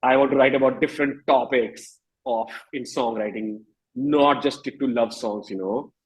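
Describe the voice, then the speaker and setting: A man's voice: mid-range voice, moderate pace, husky, poor audio. An Indian-accented man in his thirties speaking informally and reflectively in a casual interview, with plenty of verbal tics like "you know". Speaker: singer-songwriter being interviewed.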